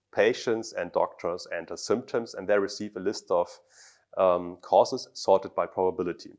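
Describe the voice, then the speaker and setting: Man, thirties, from Germany, deep low voice, interview answers, halting phrasing, lapel mic indoors. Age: 30s. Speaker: doctor and startup co-founder. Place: office meeting room indoors.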